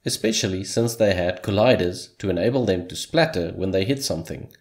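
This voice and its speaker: Low-voiced man narrating a dev recap. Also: darkish tone. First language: Afrikaans. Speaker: hobby game developer, channel host. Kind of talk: scripted voice-over narration.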